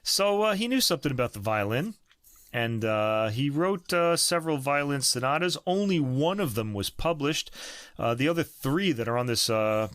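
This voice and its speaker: A man's voice: medium pitch, lively intonation, moderate pace, a bit bright, dry recording. A man hosting a podcast, chatting casually about classical recordings, rambling with many fillers and restarts. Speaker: podcast host.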